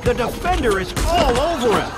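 High-pitched excitable arcade basketball announcer. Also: male voice, normal speed. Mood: excited. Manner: punchy, exclamatory, showy. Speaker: game sports commentator. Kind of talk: arcade basketball play-by-play commentary. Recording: loud game music and crowd behind. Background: game music, crowd.

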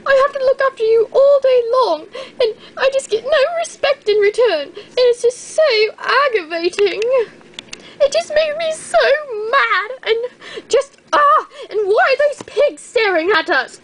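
A woman's voice: extremely high pitch, lively intonation, measured pace, poor audio. A woman voicing a fussy, proud female llama who complains in a weepy, indignant way during toy animal role-play. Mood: whiny.

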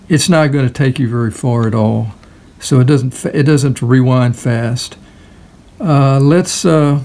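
A man's voice: medium pitch, creaky, dark tone. A man giving a casual hands-on product demonstration in a hesitant, unscripted way. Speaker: product reviewer.

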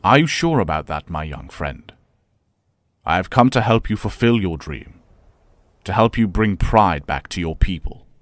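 A man playing a solemn, persuasive middle-aged professor and mentor in an audio drama, speaking in measured, formal phrases. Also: sits low, very animated, no echo.

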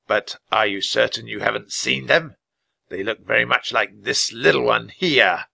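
A man voicing a menacing, mocking raven king in a storybook, with a raspy, croaking voice and sneering taunts. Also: medium pitch, moderate pace, pushed and bright, no echo, exaggerated voice.

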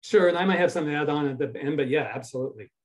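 Man, sixties, American accent, slightly high voice, measured and halting, official answering questions, video call. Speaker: state ferries official at a town hall. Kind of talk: answering questions in a public online meeting.

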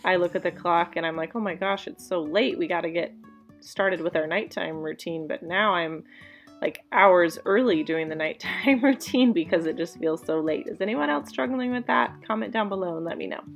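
A woman's voice: lowish voice, normal speed, vocal fry. A woman in her thirties chatting casually in a voice-over for her home cleaning vlog, confiding and laughing now and then. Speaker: cleaning vlogger. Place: home bedroom. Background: soft background music.

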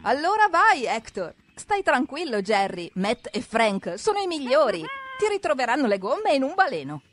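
A woman narrating a children's cartoon in an expressive, exclamatory way, talking directly to the characters as if to small children. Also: high-pitched, moderate pace, a little hiss or hum. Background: background music.

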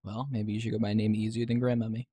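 A woman playing a sarcastic, foul-mouthed teenage boy in an audio drama, with a husky, deadpan delivery. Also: very deep voice, fast talker, dry recording. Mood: dry, defensive. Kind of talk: podcast audio drama acting.

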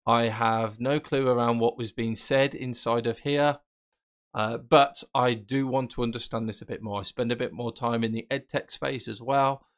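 A man presenting a screen-recorded software tutorial, explaining casually and thinking aloud with fillers. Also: mid-range voice, steady tone, normal speed. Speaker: tech presenter.

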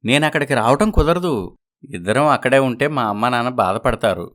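A man playing a dutiful adult son in an animated moral story, speaking earnestly and pleadingly. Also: medium pitch, fairly quick, lightly acted. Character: dutiful adult son torn between wife and parents.

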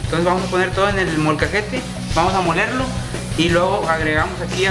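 A young man with a Mexican Spanish accent hosting a casual cooking demonstration at an outdoor grill, relaxed and slangy, with many restarts. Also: sits high, roomy sound, rough recording. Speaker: cooking show host.

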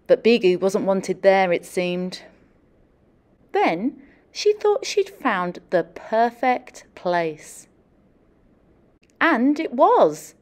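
Animated, slow-paced woman reading to children. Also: mid-range voice. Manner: animated, deliberate, questioning and encouraging young children. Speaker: teacher or librarian reading to children. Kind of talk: expressive children's storytelling and classroom questioning.

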